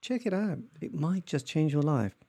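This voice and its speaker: A man's voice: fairly high pitch, expressive, moderate pace, warm tone. An English man hosting a podcast, enthusiastic and jokey as he wraps up an interview. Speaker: podcast host. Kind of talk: interview and sign-off.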